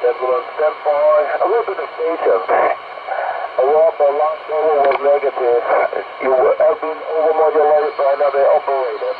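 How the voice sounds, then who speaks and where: Higher-voiced man on tinny, noisy radio. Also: fairly even intonation. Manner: deliberate, repeats and spells out phrases. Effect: CB radio transmission. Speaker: amateur CB radio operator. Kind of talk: formal radio contact with call signs and repetition.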